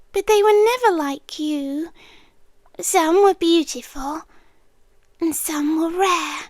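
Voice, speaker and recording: A woman's voice: very high-pitched, dry recording, clean studio sound, heavily acted. A British woman playing a centuries-old possessive monster lover in an ASMR roleplay, coaxing and sweetly menacing, often slipping into a whisper. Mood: soft, possessive. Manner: slow, hushed, coaxing, often drops into whispers.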